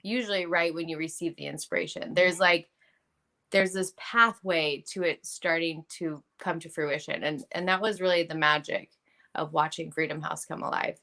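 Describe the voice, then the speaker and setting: A woman who is a publisher and coach, speaking as a guest on a casual podcast in an energetic, earnest way and laughing often. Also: sits low, normal speed, vocal fry. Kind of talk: casual interview chat.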